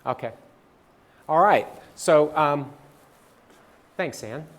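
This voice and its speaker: Halting man presenting slides. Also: fairly high pitch, lively intonation, a bit bright. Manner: halting, many fillers and restarts. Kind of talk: presenting slides at a livestreamed training session.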